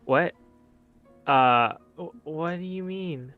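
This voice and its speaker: A man's voice: a little high, slow pace, dry recording, phone-quality sound, faint music behind. Hesitant man with a clean, close sound. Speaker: player in a tabletop roleplaying game. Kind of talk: casual roleplay chat.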